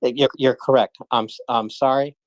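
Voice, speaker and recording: Halting male host, dry sound. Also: medium pitch, fairly even intonation, no room sound. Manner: halting, many fillers and restarts. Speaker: host of a live audio chat room. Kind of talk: casual live moderation.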